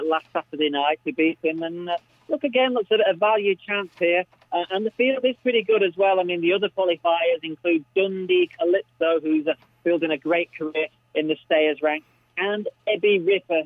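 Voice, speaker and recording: Australian male, matey and enthusiastic racing tipster, slightly high voice, phone-quality sound, conversational commentary. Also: dry recording. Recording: thin phone line.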